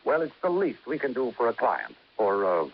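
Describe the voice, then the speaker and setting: Earnest company man, lively intonation. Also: mid-range voice. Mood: coaxing, concerned. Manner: coaxing, halting. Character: earnest, hesitant male insurance company man. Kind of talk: 1950s radio drama acting. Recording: dull, faintly hissy vintage radio recording.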